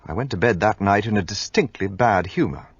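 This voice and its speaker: An actor playing an earnest, impulsive gentleman who narrates a radio play in an expressive way. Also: fairly low pitch, a little fast, lo-fi sound, a little hiss or hum.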